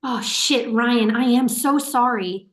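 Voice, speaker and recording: A woman's voice: on the high side, takes it slowly. A woman playing an insistent, worried young woman who presses and confronts, in a staged play reading. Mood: apologetic, urgent.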